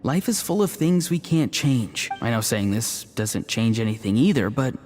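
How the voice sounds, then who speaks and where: An actor voicing an earnest, gentle, dutiful young man in an anime fantasy game, polite and reassuring. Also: mid-range voice, animated, normal speed, natural voice. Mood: gentle, sympathetic. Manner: polite, reassuring, soft-hearted. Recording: long reverb, music underneath.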